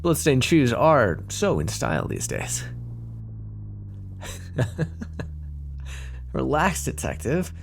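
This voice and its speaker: A man's voice: mid-range voice, wildly swooping pitch, measured pace, gravelly, few pauses, a little hiss or hum, heavily acted. A man playing a cocky, teasing mafia boss with a theatrical, flirty drawl in an ASMR roleplay. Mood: flirtatious, teasing.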